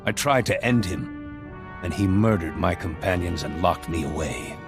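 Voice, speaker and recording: An actor playing a solemn, zealous and weary fallen Jedi in a video game, speaking gravely and deliberately. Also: male voice, low-pitched. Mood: bitter, resolute. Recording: loud music under the voice.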